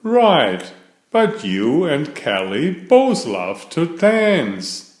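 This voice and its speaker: A man's voice: fairly high pitch, wildly swooping pitch, very slow, voice pushed up, full character voice. A man voicing an eager, helpful male cat detective from a children's storybook, upbeat, emphatic and reassuring. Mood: excited, confident. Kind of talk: children's storybook character reading. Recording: echoey room.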